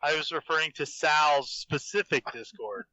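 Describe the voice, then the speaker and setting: A man's voice: fairly high pitch, moderate pace, pushed and bright. A man chatting casually as a guest on a group podcast over a voice call. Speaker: podcast guest, fan fiction author. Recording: dry, slightly dull voice-call audio.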